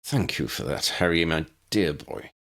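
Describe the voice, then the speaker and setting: A man's voice: sits low, moderate pace, fairly bright tone, voice pushed down. A man voicing a wordy, courteous, eccentric old man in an audiobook, with formal and ornate phrasing. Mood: wry. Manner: formal, ornate, pompous diction.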